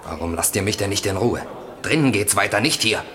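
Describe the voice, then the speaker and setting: An actor playing an agitated, evasive accused driver in a German radio crime drama, cornered and defensive. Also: male voice, fairly low pitch, expressive, brisk, crowd noise, close to an everyday voice. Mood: cornered, irritated.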